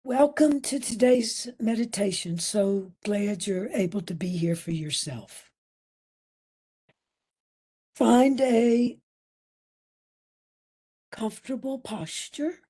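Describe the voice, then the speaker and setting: A woman leading a guided meditation as instructor, soft and breathy, soothing and gentle, leaving long pauses between phrases. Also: medium pitch, lively intonation. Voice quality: soft, breathy, at times near whisper.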